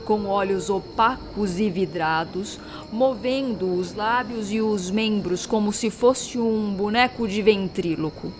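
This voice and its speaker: A woman playing a very old street woman who tells eerie sea legends in a measured, ominous, dramatic audio-drama style. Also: medium pitch, background noise, lightly acted.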